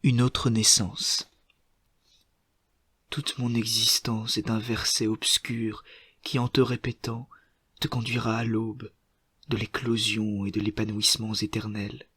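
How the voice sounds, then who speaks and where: A man reciting literary poetry in a solemn, measured manner, setting short phrases apart with deliberate pauses. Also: on the low side, little pitch movement, a bit bright, slightly rough, dry recording. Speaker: poetry reader.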